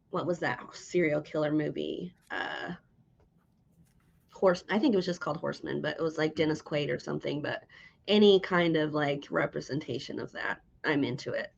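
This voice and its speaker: A woman's voice: low-pitched, gravelly, lo-fi sound. A woman hosting an interview as a casual chat, informal and giggly in the way she talks. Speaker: interviewer, podcast host.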